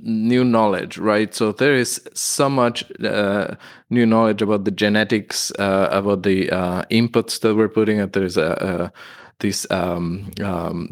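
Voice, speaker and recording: A middle-aged man from Ecuador with an Ecuadorian Spanish accent, a plant pathologist explaining his research as a guest, hesitant with many fillers and restarts. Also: lowish voice. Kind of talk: conversational interview.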